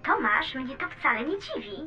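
A woman's voice: high voice, sing-song, moderate pace, sharp tone, soft background music, heavily acted. An actress playing a chatty, curious female space probe with a wry, teasing manner in a children's educational audio drama. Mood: wry, exasperated. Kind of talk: children's educational radio play.

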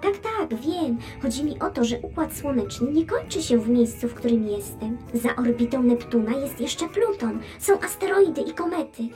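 Lively, high-voiced sardonic space probe played by a woman. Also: moderate pace, few pauses, soft background music, lightly acted. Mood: eager, explaining. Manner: wry, teasing, explanatory. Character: talking female space probe, chatty, sardonic, curious explorer. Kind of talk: children's educational radio play.

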